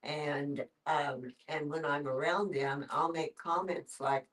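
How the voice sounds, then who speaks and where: Older woman, low voice, matter-of-fact and halting, heard from off mic. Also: fairly even intonation, a bit bright, distant mic. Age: 60s or older. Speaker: discussion participant, grandmother. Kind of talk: casual personal sharing.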